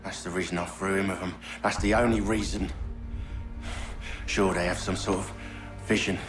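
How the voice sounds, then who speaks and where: An actor playing a cynical, sneering mercenary thug in a video game, with a taunting manner and rough, colloquial speech. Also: male voice, low voice, little pitch movement, normal speed, soft background music, close to an everyday voice. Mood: bitter, indifferent. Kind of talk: dramatic video game acting.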